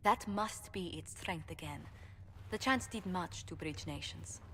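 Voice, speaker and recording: A diplomatic ambassador in a fantasy game. Her voice is slightly low, measured and articulate, with formal tact. It has a light hall reverb. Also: animated, a bit bright. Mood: measured, concerned. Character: polished, diplomatic female ambassador, measured and pragmatic. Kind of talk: video game cutscene acting. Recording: hall reverb, faint background noise.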